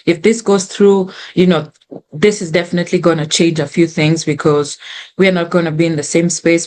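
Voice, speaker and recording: Earnest low-voiced Kenyan woman. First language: Swahili. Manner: earnest and reflective, with frequent restarts. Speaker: guest. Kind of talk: conversational storytelling.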